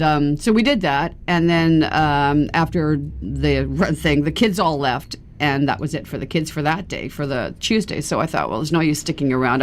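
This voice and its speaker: Woman, low voice, chatty storyteller, radio interview, faint hiss. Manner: chatty, laughs often, storytelling. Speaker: activist guest. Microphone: radio studio microphone.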